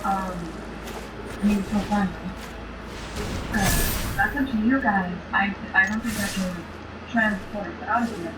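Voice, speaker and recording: A woman's voice: mid-range voice, measured pace, pauses often. A woman chatting casually with a group as a player on a tabletop game show. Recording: echoey distant mic, loud background noise.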